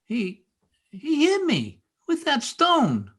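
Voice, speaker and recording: An actor playing a proud, brooding young male outcast in an old-time radio drama, intense and sullen with a husky voice. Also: sits high, huge pitch swings, takes it slowly, a bit bright, full character voice. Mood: hurt, vengeful.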